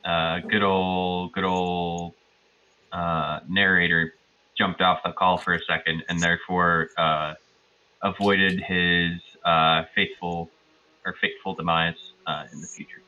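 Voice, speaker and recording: A man playing an online tabletop game, recapping casually in a halting way as he searches for words. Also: low-pitched, level tone, sharp tone, clear, resonant tone, dull audio. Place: online voice call.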